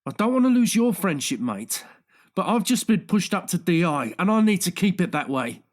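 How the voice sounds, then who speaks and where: A man's voice: high-pitched, big pitch swings, moderate pace, pitched above the performer's own voice. A man voicing a tired, exasperated police detective and worried friend in an audiobook, blunt and pleading. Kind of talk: audiobook character dialogue.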